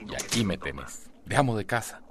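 An actor playing a talkative, self-satisfied husband in a Latin American Spanish radio soap opera. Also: male voice, lowish voice, big pitch swings, brisk, very noisy. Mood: chatty, self-satisfied.

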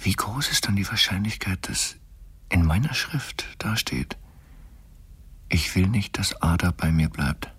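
A man's voice: deep voice, expressive, measured pace, a bit bright, husky, full character voice. A man playing a charming, intellectual lover in a radio play, musing playfully in an articulate, intimate way.

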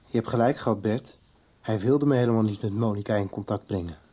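A man's voice: lowish voice, quick pace, dark tone, husky. An actor playing Joris, a troubled young man haunted by dreams, talking bluntly in a 1990s radio drama. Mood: tense. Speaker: lead character in a radio soap. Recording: music bed under the dialogue.

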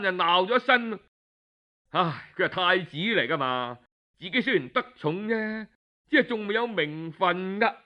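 A man with a Cantonese accent telling a historical tale in an expressive, colloquial traditional storytelling style. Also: on the high side. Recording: dull lo-fi audio.

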